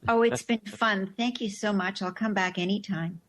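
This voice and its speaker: A woman speaking as a radio show guest, explaining in a thoughtful, measured, conversational way. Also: mid-range voice, fairly even intonation, fairly bright tone.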